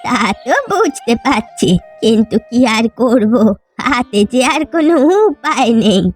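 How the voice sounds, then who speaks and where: A woman's voice: fairly high pitch, lively intonation, unhurried, breathy edge, faint music behind. A woman voicing a poor, sorrowful old fisherwoman in a fairy tale cartoon, weary and lamenting. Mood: resigned. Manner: plaintive, lamenting.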